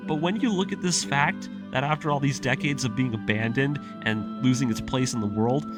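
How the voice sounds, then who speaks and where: A man delivering an enthusiastic video essay as an excitable fan, informal and emphatic, with a storyteller's sense of drama. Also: fairly high pitch. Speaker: video creator and narrator. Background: music under the voice.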